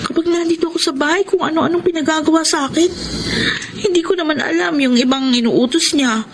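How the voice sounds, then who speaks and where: A woman's voice: sits high, brisk. A woman acting a young live-in girlfriend, fond but strained, in a Tagalog radio drama. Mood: aggrieved. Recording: noisy radio drama track.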